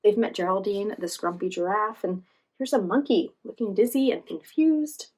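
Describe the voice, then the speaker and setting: A woman hosting a children's read-aloud, reading expressively and pausing to ask encouraging questions. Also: mid-range voice, darkish tone, slightly rough, poor audio. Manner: encouraging, asks questions and pauses for answers. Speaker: read-aloud storytime host, teacher.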